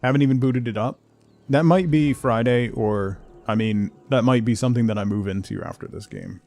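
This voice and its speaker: A man's voice: medium pitch, sing-song, normal speed, gravelly, a little mellow. A man doing a casual, rambling livestream over a video game, talking conversationally with viewers. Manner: rambling, conversational, reads chat and game text aloud. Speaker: game streamer, host.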